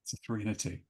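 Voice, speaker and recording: A man chatting casually as a player in a tabletop roleplaying game.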